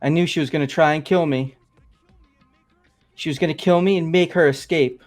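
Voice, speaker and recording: A man's voice: on the high side, fairly even intonation, normal speed, soft background music, lightly acted. A man voicing a nervous young man who rambles defensively through a confession, as fan voice acting of a game. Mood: anxious.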